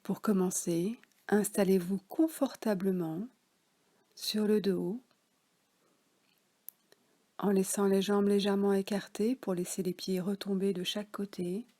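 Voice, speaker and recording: A French woman guiding a yoga nidra relaxation session, speaking softly with some words whispered. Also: mid-range voice, fairly even intonation. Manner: slow, soft, partly whispered guidance. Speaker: relaxation guide.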